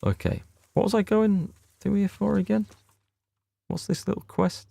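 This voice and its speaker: A man's voice: high-pitched, moderate pace, vocal fry, mellow, dry recording. A man giving casual commentary on a game he is streaming, chatting with viewers as he plays. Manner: chatty, reads out and answers viewer chat. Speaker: gaming streamer. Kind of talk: casual let's play commentary.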